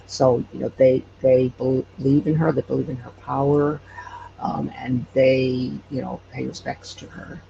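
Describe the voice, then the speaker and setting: A man explaining things in casual interview talk, as a podcast guest, in a halting way. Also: mid-range voice, flat delivery, gravelly. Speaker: podcast guest, local storyteller. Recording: muffled, with faint background noise.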